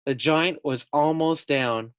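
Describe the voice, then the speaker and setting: Male teacher, storybook read-aloud: deliberate pace, dry close sound, asks young listeners questions. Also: a little high, clean tone, dry recording.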